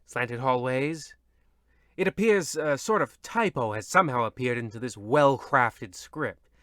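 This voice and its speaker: A world-weary horror-show narrator acted by a man, mid-pitched and forceful, recorded close and dry. He delivers old-radio narration with ominous, grumbling theatricality. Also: animated, unhurried, no echo. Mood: exasperated.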